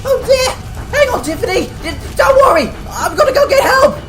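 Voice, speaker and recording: A woman's voice: very high-pitched, big pitch swings, moderate pace. A woman playing a frightened, earnest young male tank engine in an audio drama, stammering and pleading. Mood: panicked, urgent. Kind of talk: amateur audio drama acting.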